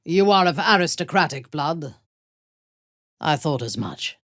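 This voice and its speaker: A woman playing a ruthless, bloodthirsty warrior commander in a video game, with a husky, breathy voice and haughty, formal, biting delivery. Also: deep voice, expressive, a bit bright, no room sound, clean studio sound, heavily acted. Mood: darkly amused. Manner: haughty, measured, formal diction with biting disdain. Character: ruthless, imperious female warrior and cult commander, bloodthirsty, darkly amused. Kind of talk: video game character dialogue.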